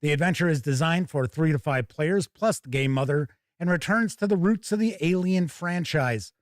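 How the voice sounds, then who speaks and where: Male livestream host, middle-aged, slightly high voice, dry close sound, rambling and informal delivery. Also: moderate pace, dry recording. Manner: rambling, chatty, informal with mild swearing. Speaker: host of a tabletop gaming livestream. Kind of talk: casual livestream chat and product first look.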